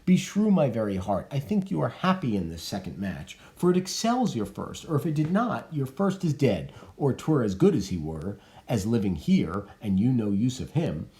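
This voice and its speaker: A man reading the part of an older woman servant who brisk and matter-of-factly persuades. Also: medium pitch, sing-song, mellow. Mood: persuading. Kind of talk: dramatic reading of a play.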